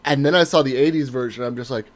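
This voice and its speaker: A man in his thirties chatting casually as a podcast co-host, telling stories in an animated way with emphatic swearing. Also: mid-range voice, fast. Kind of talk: casual banter, profane chat.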